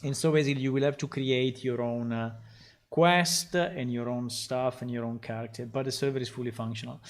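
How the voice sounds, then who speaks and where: A man, a game developer, talking casually on a live stream as he works, thinking aloud and halting often with fillers. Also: medium pitch, fairly even intonation. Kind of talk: casual live-stream talk while working.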